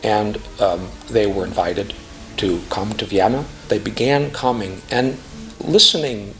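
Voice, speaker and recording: A middle-aged man speaking earnestly and emphatically in a reflective interview testimonial, phrasing deliberately. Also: fairly low pitch, a bit bright, faint music behind. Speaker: classical music professional giving a testimonial.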